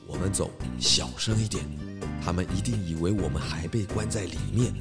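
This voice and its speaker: A man's voice: sits low, fairly quick, over loud music, close to an everyday voice. A man voicing a confident, clever pig detective with a jovial, explanatory manner in a children's audio drama. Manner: self-assured, jovial, explanatory.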